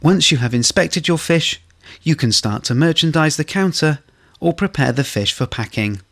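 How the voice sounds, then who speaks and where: A man's voice: fairly high pitch, animated, fairly bright tone. Man narrating a corporate training film. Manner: measured, instructional delivery. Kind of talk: scripted instructional narration.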